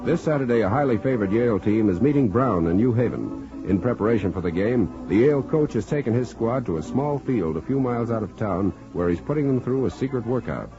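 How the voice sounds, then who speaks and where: A man's voice: mid-range voice, animated, brisk, few pauses. A man announcing a radio drama in a dramatic, declamatory 1940s style. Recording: muffled vintage 1940s radio recording. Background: music.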